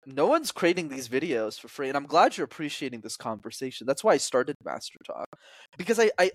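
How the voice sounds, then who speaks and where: A young man in his twenties speaking as a communication coach in a casual interview, lively, acting out quoted voices with sudden jumps in pitch. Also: dry recording.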